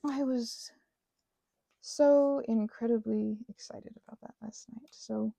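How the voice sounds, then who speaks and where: A woman rambling casually as she crafts, talking in a gushing, halting way and often interrupting herself. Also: fairly high pitch, fairly even intonation, vocal fry, dark tone, no echo. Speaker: hobby ASMR and roleplay audio creator.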